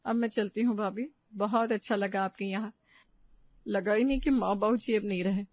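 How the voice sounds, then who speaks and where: A woman's voice: mid-range voice, steady tone, moderate pace, no echo, muffled recording, natural voice. A woman voicing an accommodating, sentimental older aunt in a family story drama. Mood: grateful, tender. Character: affectionate older aunt, youngest sister, accommodating.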